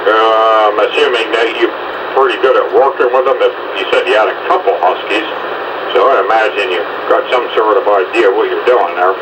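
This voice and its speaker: A man's voice: lowish voice, fairly even intonation, normal speed, recorded from a distance, noisy background. A man chatting casually in a rambling, conversational way. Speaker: dog rescue volunteer chatting on air. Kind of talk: casual chat.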